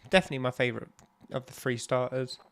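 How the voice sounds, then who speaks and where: A man's voice: medium pitch, steady tone, quick pace, vocal fry, fairly bright tone, soft background music. A young man with a stuffy, bunged-up nose, chatting casually and rambling with lots of ums while he streams a game. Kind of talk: casual live-stream chat while playing.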